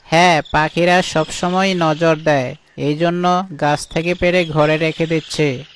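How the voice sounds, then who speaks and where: Man voicing a highish-pitched, chatty cartoon jackal. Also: fairly even intonation, measured pace, slight background noise. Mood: friendly. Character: friendly, hardworking male jackal orchard keeper. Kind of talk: cartoon voice acting.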